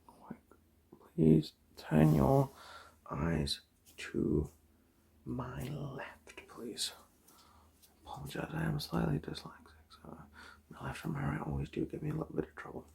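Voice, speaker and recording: Whispery asmr eye doctor, really breathy n crackly, gentle and reassuring. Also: mid-range voice, lively intonation, a little slow, pauses often. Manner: gentle, reassuring, polite instructions. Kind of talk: ASMR medical roleplay, soft whispering.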